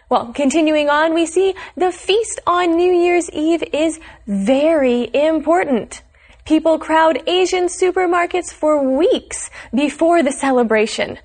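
A woman hosting a scripted language lesson, explaining words in a bright, measured way. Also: sits high.

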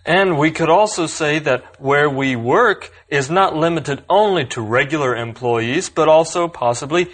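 A man co-hosting a scripted English lesson, calmly explaining the difference between words. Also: fairly high pitch, a little slow, hardly any pauses. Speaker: co-host.

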